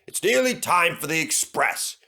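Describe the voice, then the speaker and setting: A man's voice: fairly high pitch, very animated, moderate pace, bright, forceful tone, full character voice. A man voicing a proud, boastful big express engine with a pompous manner.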